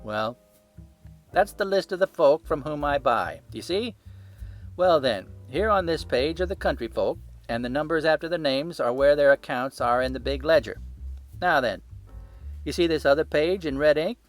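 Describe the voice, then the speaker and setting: Man acting a surly, shouting market salesman. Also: a little high, animated, moderate pace, soft background music, voice pushed up, close to an everyday voice. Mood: cocky, triumphant. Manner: blunt, combative, emphatic. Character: surly, cocksure market poultry salesman, hot-tempered, shouting.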